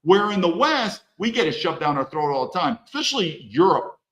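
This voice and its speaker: A man's voice: sits high, very animated, brisk, a bit bright, lo-fi sound. Blunt man, echoey room. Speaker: podcast host. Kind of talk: opinionated solo monologue.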